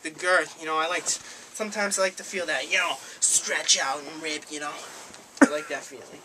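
A man's voice: a little high, fairly even intonation, normal speed. A young man making a prank phone call in a rambling, hesitant, overfamiliar way, recorded by a handheld camera in a small room. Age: young adult. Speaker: prank caller. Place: small cluttered room indoors. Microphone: handheld camera a few feet away. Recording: noisy amateur camera audio, clicks.

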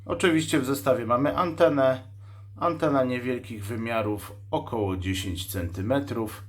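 Man calmly explaining gadget specs. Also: medium pitch, slight background noise. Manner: matter-of-fact, technical, listing specs; pauses mid-sentence while handling the device. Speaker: radio shop host reviewing a walkie-talkie. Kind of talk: unscripted product demonstration.

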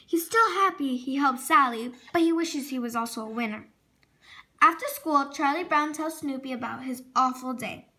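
A very high, bright female voice reads a children's storybook aloud. Her delivery is expressive, with words sometimes stumbled over and then corrected. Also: normal speed. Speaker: storybook reader.

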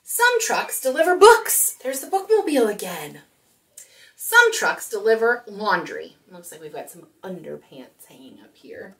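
A woman speaks to young children as a storytime host. Her mid-pitched voice swings expressively as she explains and reads aloud, addressing her listeners directly. She is recorded a little distance from the camera microphone in a small room. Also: very animated, a little slow, fairly bright tone. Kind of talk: children's storytime talk and picture-book read-aloud.

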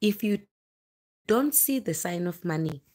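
Woman, 30s, South African accent, slightly low voice, casual animated vlogger talking to viewers. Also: measured pace. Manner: chatty, animated, breaking off to greet viewers. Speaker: livestream vlogger, storyteller. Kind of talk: casual livestream chat, personal storytelling. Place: bedroom, indoors, lying in bed. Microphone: phone at arm's length.

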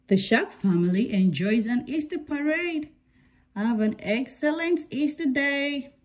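High-pitched woman reading a children's book. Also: animated, measured pace. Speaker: read-aloud story presenter. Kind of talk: children's picture book read-aloud with sing-song refrains.

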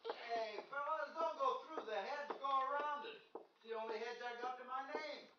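A man's voice: very high voice, moderate pace. A man playing an easygoing, affectionate American suburban father in a 1950s radio drama. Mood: annoyed. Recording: dull, noisy 1950s radio recording.

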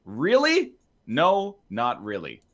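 A man's voice: medium pitch, wildly swooping pitch, slow pace, a bit bright. A man chatting casually with gaming friends in a dry, sarcastic way. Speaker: gamer in a group voice chat. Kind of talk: casual chat.

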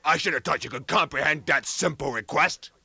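Croaky, forceful, dim rhino. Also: male voice, a little high, no echo, full character voice. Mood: hungry, demanding. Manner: slow-witted, earnest, self-important. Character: dim, earnest, hungry male rhinoceros turned commodity trader. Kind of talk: 1980s satirical radio comedy acting.

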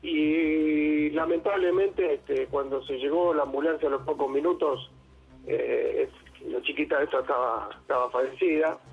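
A man with an Argentine accent, an emergency service director speaking over the phone in a halting way, with many fillers and restarts. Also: on the high side, steady tone, creaky, slightly rough. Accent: Argentine Spanish. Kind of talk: phone interview. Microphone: telephone. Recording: tinny phone line.

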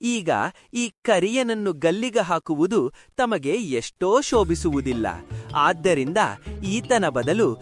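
A man's voice: high voice, lively intonation, slow, no room sound, studio quality, exaggerated voice. A man playing a foolish, deferential royal minister in a cartoon folktale, pleading his case with emphasis. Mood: fawning, urging. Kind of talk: cartoon storybook character acting. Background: background music.

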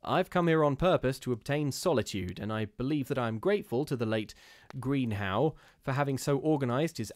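A man's voice: mid-range voice, no room sound, studio quality, lightly acted. A man playing a grave, formal young scholar. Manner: formal, courteous, wordy.

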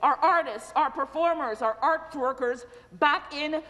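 A woman's voice: high-pitched, measured pace, sharp tone, long reverb tail. A woman asking an urgent, pleading question as an opposition member in a large legislative chamber, on a desk microphone. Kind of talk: parliamentary question.

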